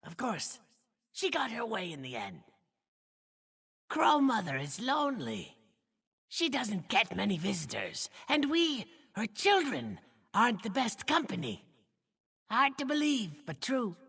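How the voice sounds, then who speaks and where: Very raspy talking crow. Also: female voice, on the high side, huge pitch swings, unhurried, pauses often, fairly bright tone, exaggerated voice. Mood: rueful. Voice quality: raspy, croaky. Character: talking crow, crass, loyal child of a lonely witch. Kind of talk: video game character acting. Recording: long cavernous reverb.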